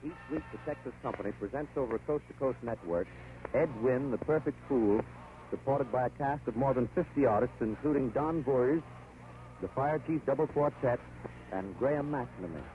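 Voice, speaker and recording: A man's voice: medium pitch, moderate pace, long pauses, faint music behind. An American man speaking for a sponsor in a formal 1930s radio announcing style. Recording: scratchy, tinny 1930s radio broadcast.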